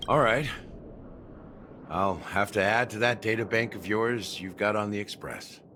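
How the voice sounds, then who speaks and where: A man's voice: lowish voice, a little slow, pushed and bright, a little hiss or hum. An actor playing a weary, wry veteran man in a video game, speaking in a laconic, resigned way. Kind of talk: dramatic video game dialogue.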